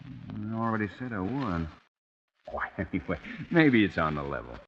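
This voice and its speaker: An actor playing a wary, skeptical husband in an old-time radio crime drama. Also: male voice, fairly low pitch, sing-song, moderate pace, gravelly. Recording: muffled, noisy old radio drama recording.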